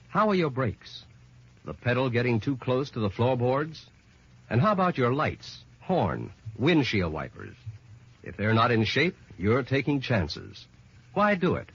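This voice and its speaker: A man's voice: mid-range voice, fairly bright tone, husky. A man announcing and narrating an old-time radio drama with dramatic, emphatic scripted delivery. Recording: dull, muffled old radio recording.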